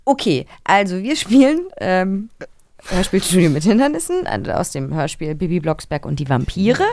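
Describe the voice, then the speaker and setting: A woman's voice: fairly low pitch, big pitch swings, moderate pace, darkish tone. A woman hosting a podcast, chatting casually in a chummy, slangy way with English exclamations mixed in. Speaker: podcast host. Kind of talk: casual chat.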